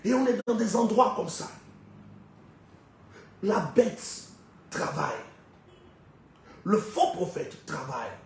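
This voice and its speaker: A man preaching a sermon with a head cold, firing off rhetorical questions and swinging sharply between loud high peaks and low, quiet drops. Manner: emphatic, rhetorical questions, big swings in pitch and loudness. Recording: very echoey hall, faint background noise.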